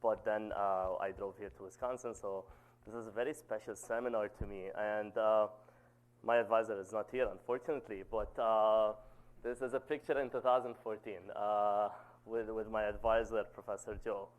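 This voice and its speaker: A man in his thirties with a loud voice, giving an academic seminar talk and often checking in with "okay?". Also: normal speed, poor audio. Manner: says "okay?" to check in, lively pitch. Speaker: guest lecturer.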